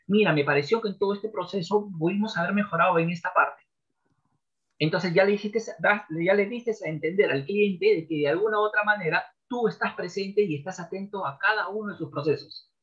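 A man's voice: sits high, brisk, fairly bright tone, highs cut off. Emphatic sales trainer man on a video call. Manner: emphatic, repeats key phrases like a trainer. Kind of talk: podcast interview by video call. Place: home office with bookshelves.

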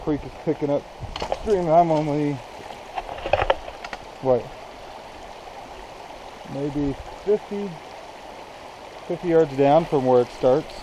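A man's voice: fairly high pitch, takes it slowly, long pauses. A man in his thirties casually talking to viewers while hiking a rocky canyon creek bed, filming himself with a handheld action camera. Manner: relaxed, chatty, addresses viewers directly. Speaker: outdoor vlogger. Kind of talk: casual walking commentary to camera. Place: outdoors in a rocky mountain creek bed by flowing springs. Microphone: action camera held at arm's length. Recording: outdoor camera audio with clear stream and wind noise. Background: running water and wind.

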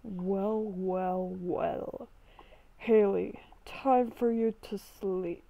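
Woman as sinister villager captor, lowered voice, slow, ominous, commanding, game roleplay, dull sound. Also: steady tone, vocal fry. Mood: calmly menacing.

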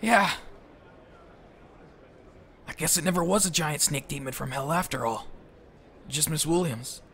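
A young man playing a high-strung, sarcastic, foul-mouthed teenage schoolboy in a comedic audio drama, rambling and sardonic. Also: gravelly, fairly bright tone, a little hiss or hum. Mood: dry, sardonic.

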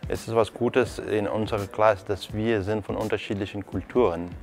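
A man's voice: on the low side, fairly even intonation, normal speed, loud music behind the voice. A young man from Afghanistan, a nursing trainee speaking earnest, simple into a lapel mic. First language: not German. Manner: earnest, simple non-native phrasing.

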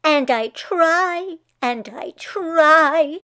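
Woman reading as a very slow, high-voiced, earnest tree. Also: no echo, clean studio sound, exaggerated voice. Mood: frustrated. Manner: slow, deliberate, expressive rhymed storybook reading. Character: earnest female tree, exasperated by her misbehaving leaves. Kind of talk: children's picture book read-aloud.

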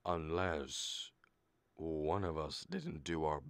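A man's voice: a bass voice, slow, no echo, heavily acted. An actor playing a blustering, exasperated middle-aged father of a bride in a radio comedy, theatrical and argumentative. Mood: weary, reproachful. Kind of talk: stage comedy performed as a radio play.

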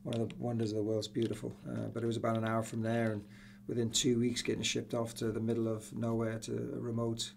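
A middle-aged man with an English accent, reminiscing casually as an interview guest, often pausing with you-knows. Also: medium pitch, completely flat delivery, creaky, darkish tone, a little hiss or hum. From Newcastle, England. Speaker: soccer coach, interview guest. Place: small indoor room with acoustic panels. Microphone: podcast boom microphone.

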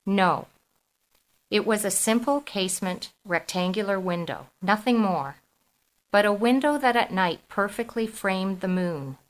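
A woman's voice: medium pitch, poor audio. A woman from Toronto with a Canadian accent, speaking as an author presenting her writing. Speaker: author, podcast guest. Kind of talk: reading prose and talking about her book.

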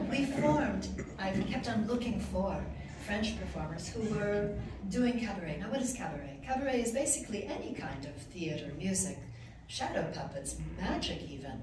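A woman giving an award acceptance speech at a podium in an ornate reception room, recorded from far off. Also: medium pitch. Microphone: podium mic, recorded from far off. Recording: echoey room, far from camera, noisy.

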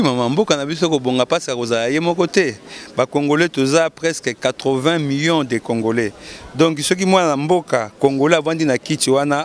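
A middle-aged man speaks into a handheld mic in a lit square at night. His voice sits a little high for a man, and he talks in an earnest, rallying way, repeating key phrases as he makes his case. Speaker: local political organizer. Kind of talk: street interview. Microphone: handheld mic held by interviewer.

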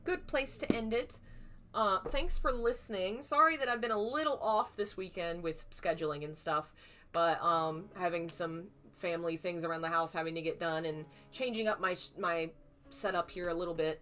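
A woman in her thirties reading a novel aloud on a live stream, her voice slightly hoarse, acting out the dialogue, then chatting casually. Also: moderate pace, bright, forceful tone. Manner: acts out dialogue with raised pitch for frightened lines. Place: small home room, indoors.

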